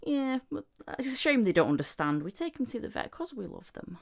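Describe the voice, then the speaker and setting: Lively-pitched woman hosting a chat. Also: a little fast, creaky. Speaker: podcast host. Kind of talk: casual interview chat.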